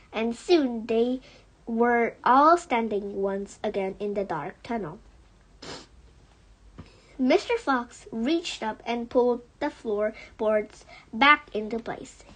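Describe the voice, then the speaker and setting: A woman reading a children's story aloud as an audiobook, with expressive narration. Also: on the high side, rough recording. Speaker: audiobook reader.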